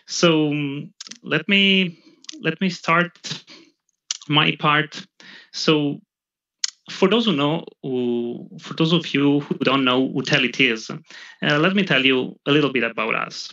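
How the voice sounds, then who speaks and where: Italian man presenting with halting fillers. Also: fairly high pitch, bright, forceful tone. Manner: halting, many fillers and restarts. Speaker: product marketing head presenting. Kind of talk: webinar presentation. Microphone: webcam video call. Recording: dull lo-fi video call audio.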